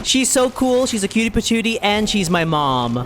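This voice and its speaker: A woman streamer giving casual, playful and excitable commentary as she plays a video game, sometimes swearing. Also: medium pitch, moderate pace, heavy background noise. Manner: playful, excitable, profane, given to silly repetition. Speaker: streamer reacting while playing a video game.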